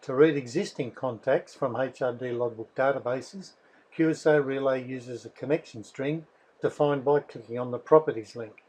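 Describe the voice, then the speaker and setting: A man, reading a scripted software tutorial in a methodical, instructional way. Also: mid-range voice, moderate pace, slight background noise. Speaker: software developer presenting a tutorial.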